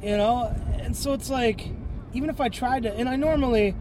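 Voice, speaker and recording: Male podcast host, high voice, congested and sniffly, rambling solo monologue with constant fillers; music bed underneath. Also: normal speed, rough recording. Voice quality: stuffy, congested nose. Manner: clears throat and sniffs often; rambling, many fillers and self-corrections. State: tired.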